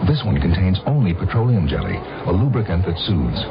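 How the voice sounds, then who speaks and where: A man's voice: deep voice, huge pitch swings, a little fast, noisy background. A man reading a scripted radio advertisement as a spokesman. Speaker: commercial spokesman. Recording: muffled radio ad with music.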